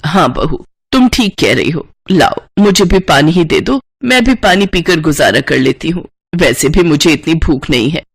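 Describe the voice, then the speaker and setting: Woman voicing a low-pitched, worried village mother-in-law. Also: lively intonation, moderate pace, hardly any pauses, slightly rough, heavily acted. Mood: earnest. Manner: admonishing, pleading. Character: weary, scolding middle-aged village mother-in-law.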